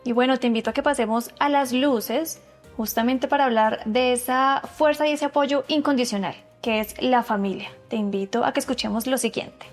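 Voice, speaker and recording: Woman, TV host, polite, measured, higher pitch, light background music. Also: fairly even intonation. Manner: polite and measured, gently leads the guest. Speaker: TV show host. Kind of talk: formal TV interview hosting.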